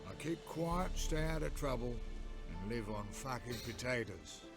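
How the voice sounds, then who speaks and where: A man voicing a gruff, foul-mouthed male spy who swears freely. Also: mid-range voice, expressive, unhurried, music in the background. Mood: sardonic. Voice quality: gravelly, swears constantly.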